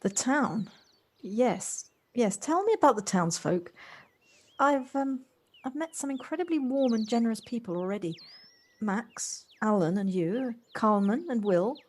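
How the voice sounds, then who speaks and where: A woman's voice: a little high, expressive, measured pace, darkish tone. A woman playing an eager, inquisitive newcomer in her forties in an amateur audio drama, sometimes hesitant. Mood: curious. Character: inquisitive, eager forty-five-year-old woman newcomer. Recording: noisy home recording on personal device.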